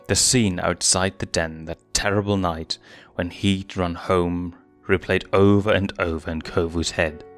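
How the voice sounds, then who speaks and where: A man's voice: deep voice, steady tone, a little slow, hardly any pauses, soft background music. A man reading a fan fiction story aloud as a scripted narrator.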